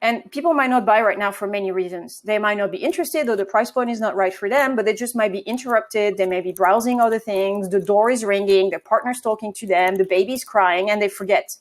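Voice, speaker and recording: A woman's voice: medium pitch, brisk, few pauses. A woman from France with a French accent, a marketing agency co-founder answering interview questions, with hesitations and self-corrections.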